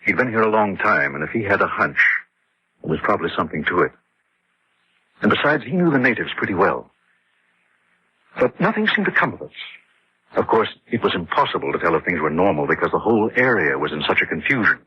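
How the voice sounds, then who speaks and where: An actor playing George, a husky-voiced engineer and husband who narrates and acts in a radio horror drama. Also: male voice, sits low, animated, a little fast, long pauses, full character voice. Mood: grim recounting. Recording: dull lo-fi radio drama recording.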